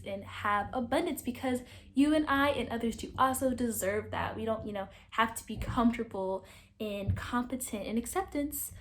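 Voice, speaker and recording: A young woman hosting a casual book review, talkative and animated, projecting a loud voice. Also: a little high. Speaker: book reviewer, channel host. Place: indoors, sitting against a wall.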